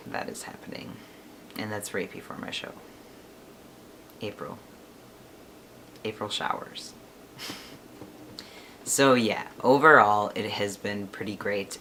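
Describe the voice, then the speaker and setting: A man's voice: fairly low pitch, vocal fry, pushed and bright, a little hiss or hum, poor audio. Chatty young man rambling to camera. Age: 20s. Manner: chatty, rambling, laughs often. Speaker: vlogger. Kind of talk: casual chat to camera.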